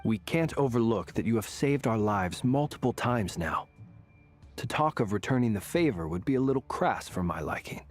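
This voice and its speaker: An actor playing a composed, courteous and analytical man in a video game, speaking formally with measured authority. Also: mid-range voice, lively intonation, soft background music, natural voice. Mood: composed, cordial.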